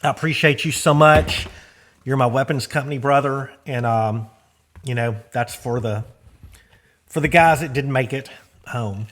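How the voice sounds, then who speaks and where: A middle-aged man with an American accent talks to viewers as a vlog host. His delivery is informal and folksy, rambling along in slangy everyday speech at an ordinary mid-range pitch. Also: unhurried, fairly bright tone. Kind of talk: casual rambling vlog talk.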